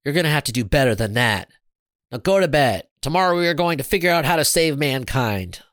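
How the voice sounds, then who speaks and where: Storybook young girl, played by a male reader: raised pitch, determined and emphatic, bossy streak, dry close recording. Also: lively intonation, brisk, fairly bright tone, no echo. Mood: bossy, defiant. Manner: insistent, emphatic.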